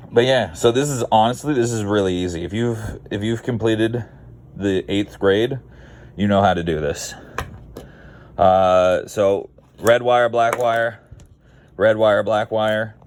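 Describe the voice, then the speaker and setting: A man's voice: fairly low pitch, darkish tone, a little hiss or hum. A Canadian man giving a casual, rambling how-to vlog in a laid-back, blunt, digressive way. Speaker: car video creator.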